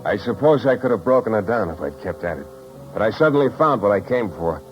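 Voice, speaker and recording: An actor playing a wry, tough private detective in clipped 1950s radio style. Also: male voice, lowish voice, lively intonation, fairly quick, lightly acted. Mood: matter-of-fact. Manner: wry, hard-boiled, clipped. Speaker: lead actor and narrator. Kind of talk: 1950s radio detective acting, first-person narration. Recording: dull 1950s radio recording, music behind.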